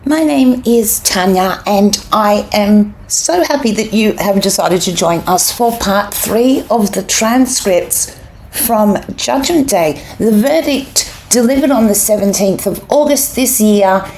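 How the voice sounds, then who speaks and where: A woman hosting a podcast, giving a measured, deliberate spoken introduction. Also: mid-range voice, lively intonation, darkish tone. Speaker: podcast host. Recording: clear background noise.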